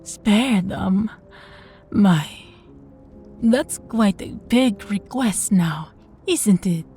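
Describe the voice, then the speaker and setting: Casting: female actor for a sadistic vampire mistress, unhurried and darkly mellow, possessive and condescending, intimate audio roleplay. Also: mid-range voice, animated, faint music behind. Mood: smug, menacing. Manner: slow, teasing, condescending, often dropping to a breathy whisper.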